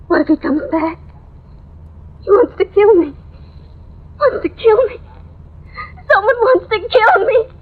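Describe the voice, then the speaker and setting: A shrill, frantic housewife in radio horror. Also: female voice, animated. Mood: terrified, hysterical. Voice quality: shrill, tearful. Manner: halting, breathless, pleading. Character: frantic, paranoid young housewife, terrified of a killer. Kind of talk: 1950s radio horror melodrama acting. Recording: muffled old radio recording.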